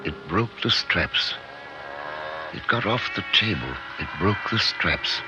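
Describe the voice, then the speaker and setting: An actor in a 1950s radio drama playing an obsessed scientist, speaking in intense, halting bursts, awed and fearful of what he has made. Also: low voice, lively intonation, over music. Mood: awed, agitated. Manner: intense, halting, talking to himself in short bursts. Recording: dull 1950s radio recording.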